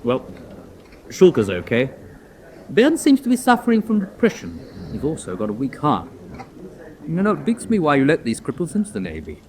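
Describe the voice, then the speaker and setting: An actor playing a wry, cynical military medical officer in a radio drama, with a blunt, animated way of talking. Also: male voice, a little high, normal speed, long pauses, a little hiss or hum.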